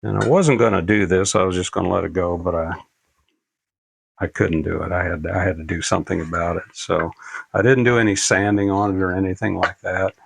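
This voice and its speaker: Very deep-voiced older man, gravelly. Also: steady tone, brisk, no room sound. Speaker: presenter of a radio repair tutorial. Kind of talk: casual unscripted how-to demonstration.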